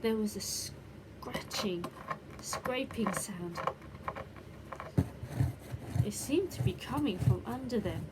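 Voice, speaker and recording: A woman reading a children's bedtime story expressively, with deliberate dramatic emphasis. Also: medium pitch, expressive. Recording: clear background noise.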